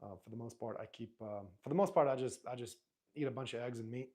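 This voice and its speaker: Relaxed man hosting a live stream. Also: mid-range voice, fast. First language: Arabic. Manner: relaxed, rambling, frequent restarts and fillers. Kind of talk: casual live stream chat, reading viewer comments.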